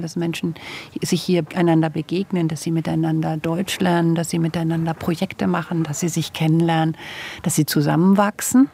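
A woman's voice: lowish voice, creaky, darkish tone, breathy edge. A woman director giving a formal, emphatic interview statement. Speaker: institute director.

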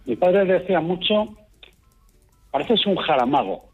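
A man calling in to a radio talk show, telling his stories in a calm, unhurried way. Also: high voice, breathy edge, faint music behind. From Extremadura, raised in Madrid. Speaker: caller. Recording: muffled phone line.

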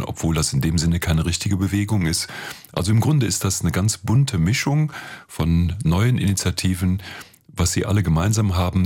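Low husky-voiced man explaining. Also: a little mellow. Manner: plain-spoken, colloquial. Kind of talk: explanatory interview talk.

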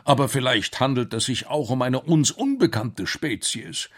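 Man putting on an excitable, high-pitched eccentric professor. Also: normal speed, natural voice. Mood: eager, hopeful. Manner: exclaiming, eager. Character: excitable, enthusiastic eccentric male professor, adventurous inventor. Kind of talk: children's radio story character.

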